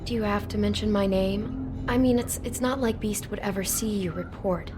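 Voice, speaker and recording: Actress as a nervous young woman in a game, earnest, hesitant, medium pitch, music underneath. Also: normal speed, lightly acted. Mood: uneasy, earnest. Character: anxious, proud young woman hiding money troubles. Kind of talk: video game voice acting. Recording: music behind the voice.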